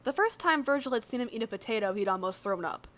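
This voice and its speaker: A woman reading a story aloud as expressive scripted narration, with a wry, conversational delivery. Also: fairly high pitch, fast. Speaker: fan fiction reader.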